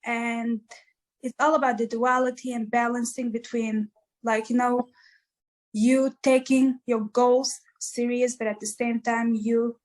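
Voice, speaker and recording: A woman's voice: on the high side, little pitch movement, a little slow, rough recording. A woman from East Africa, a musician guest, answering interview questions in an earnest, motivational way with lots of "like" fillers.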